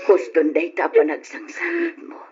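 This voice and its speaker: A woman's voice: on the low side, big pitch swings, normal speed, a little mellow, breathy edge, exaggerated voice. A woman playing a tender, protective middle-aged mother in an old Ilocano radio drama, soothing and emotive, with an Ilocano accent. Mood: consoling, sorrowful. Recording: dull lo-fi old radio recording.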